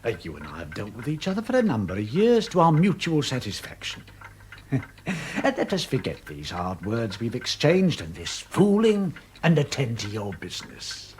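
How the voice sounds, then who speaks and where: An actor playing an oily, cynical dealer in a radio drama, with a wheedling, condescending, chuckling manner. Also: male voice, medium pitch, huge pitch swings, moderate pace, a little mellow, a little hiss or hum. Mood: placating, oily.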